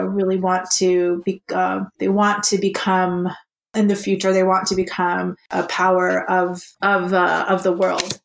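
A woman's voice: lowish voice, vocal fry. A woman who grew up in Japan, co-hosting a casual podcast chat and talking thoughtfully with many restarts and fillers. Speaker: co-host, program co-chair.

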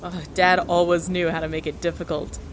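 An actress playing a caring, earnest young daughter who works as a space mission scientist in an audio drama. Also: female voice, on the low side, level tone, a little fast, slight background noise, close to an everyday voice. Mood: bittersweet. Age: young adult.

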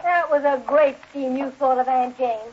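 An old, dull-sounding radio sitcom recording of an actress as a young married niece. Her voice sits very high. She is exasperated and scolds with open sarcasm. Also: fairly even intonation, normal speed, slight background noise, close to an everyday voice. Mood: exasperated, sarcastic. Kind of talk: 1930s-40s radio sitcom acting.

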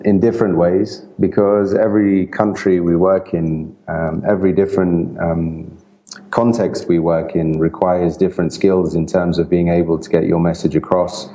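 A low-voiced middle-aged man who grew up in England and spoke Italian at home, talking as an aid worker in an interview. His tone is very dark and mellow. He chooses his words slowly and thoughtfully, restarting his sentences often. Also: low-quality recording. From Italy, raised in England. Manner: hesitant, many fillers and restarts, thoughtful. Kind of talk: interview answers.

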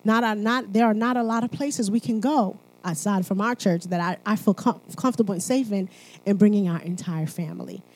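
A woman's voice: mid-range voice, fairly quick, a little mellow. A woman from Haiti speaking at church, mixing sermon and personal testimony in a conversational, emphatic manner, often checking in with listeners.

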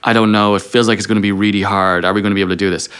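A man's voice: on the low side, flat delivery, very fast, fairly bright tone, clean tone. A man talking as a podcast guest in a conversational interview, explaining things by posing questions and voicing inner dialogue. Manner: explains through self-posed questions and quoted inner dialogue. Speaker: podcast guest, engineering leader.

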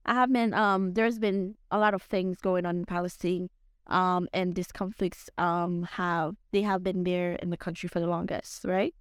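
A woman's voice: fairly low pitch, steady tone, moderate pace, smooth, dry recording, clean studio sound. A young woman with a French accent hosting a podcast, talking in a hesitant way with many fillers and restarts. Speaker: podcast host. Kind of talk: casual podcast chat.

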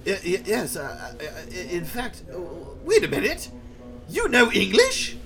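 A man playing a flustered, pompous time-travelling gentleman in a comedic audio drama, stammering and wordy with theatrical flourishes. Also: sits high, huge pitch swings, a little slow, gravelly, a crowd in the background, exaggerated voice.

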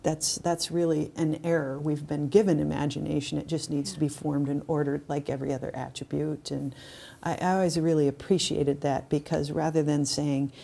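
Low, creaky-voiced woman, reflective. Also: flat delivery, moderate pace, mellow. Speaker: podcast co-host. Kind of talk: casual reflective discussion.

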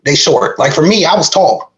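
A woman's voice: low-pitched, animated, normal speed. A woman asking questions in a casual conversation, rambling with fillers and playful remarks.

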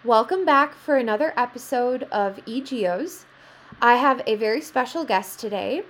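A woman's voice: fairly high pitch, expressive, moderate pace. A woman hosting a podcast, speaking in a casual, conversational interview style. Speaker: podcast host.

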